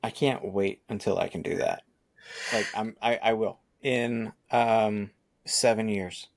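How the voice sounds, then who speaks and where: Gravelly low-voiced man in his forties. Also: steady tone, a little slow. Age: 40s. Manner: rambling, restarts often, laughs easily. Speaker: podcast co-host. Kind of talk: casual chat.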